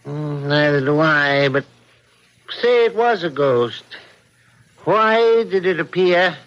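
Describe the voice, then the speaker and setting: An actor playing a concerned, cautious husband in an old-time radio mystery, deliberate and insistent. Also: male voice, a little high, animated, a bit bright. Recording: dull, band-limited radio drama recording.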